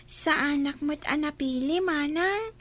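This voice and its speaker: A woman's voice: sits high, a little slow, fairly bright tone. A woman playing a cheerful, friendly young girl with a small childlike voice in an Ilocano radio drama.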